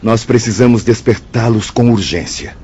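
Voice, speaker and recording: An actor with a Brazilian accent playing a grave, insistent spirit counsellor in a radio drama, formal and emphatic. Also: male voice, lowish voice, normal speed, a little mellow, lightly acted. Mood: urgent. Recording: dull lo-fi audio, faint noise.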